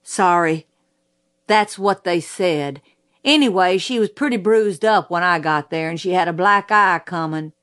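A woman's voice: fairly low pitch, measured pace, fairly bright tone, smooth. Woman voicing a folksy, plainspoken country sheriff. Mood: plainspoken, matter-of-fact. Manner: folksy, colloquial, clipped rural phrasing. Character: folksy male country sheriff giving plain, stubborn testimony. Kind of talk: audiobook character voice.

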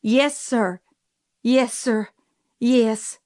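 A woman's voice: a little high, fairly even intonation, very slow, darkish tone, no echo, clean studio sound. A woman voicing a brusque, commanding Southern housekeeper in an audiobook, with emphatic delivery and a Southern US accent. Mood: urgent, alarmed. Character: forceful, no-nonsense Southern housekeeper woman, exasperated then urgent. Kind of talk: audiobook character voice.

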